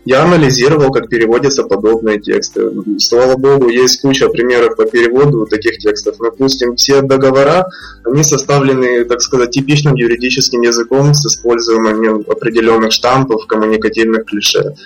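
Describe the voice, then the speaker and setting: A man, a freelance translator, answering podcast interview questions in a measured, explanatory way with fillers and restarts. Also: mid-range voice, fairly even intonation, soft background music, rough recording.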